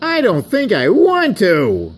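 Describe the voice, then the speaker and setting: A man's voice: sits high, wildly swooping pitch, slow pace, a little hiss or hum, pitched above the performer's own voice, exaggerated voice. A man voicing a snooty, easily scandalized male narrow-gauge engine in a storybook parody, snorting and indignant. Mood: appalled.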